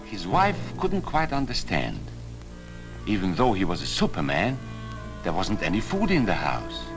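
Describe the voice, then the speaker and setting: An actor playing an earnest refugee professor, speaking in a measured, grave, instructive way in 1940s film style. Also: male voice, sits low, huge pitch swings, heavily acted. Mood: grave, wry. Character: earnest Hungarian refugee professor, sober and cautioning. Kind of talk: 1940s film acting, earnest storytelling narration. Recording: old film soundtrack with music.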